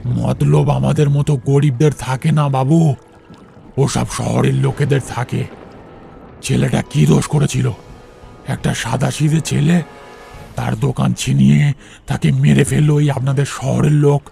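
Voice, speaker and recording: Gravelly old ghostly boatman, acted by a man. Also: mid-range voice, fairly even intonation, a little slow, long pauses, dark tone, soft background music. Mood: bitter, mournful. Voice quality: creaky, gravelly. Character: eerie old ghostly village boatman, humbly cryptic. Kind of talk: audio horror story acting.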